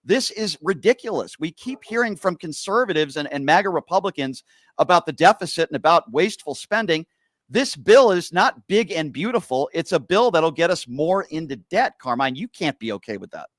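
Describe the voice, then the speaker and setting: A man's voice: on the high side, big pitch swings, normal speed, no room sound. Pressing argumentative man host. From Connecticut. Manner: pressing, argumentative, rhetorical questions. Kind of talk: heated political debate.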